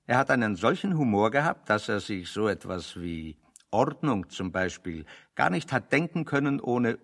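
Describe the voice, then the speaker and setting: An actor playing a bookish, wry refugee intellectual in a radio play, expounding philosophy in a pedantic, dryly ironic way. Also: male voice, mid-range voice, gravelly, a bit bright, exaggerated voice. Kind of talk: radio play dialogue, discursive monologue.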